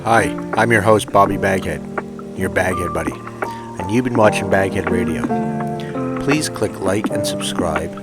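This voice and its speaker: A man with a fairly low voice delivers a scripted host sign-off. Loud music plays all around his words. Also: measured pace. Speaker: online channel host.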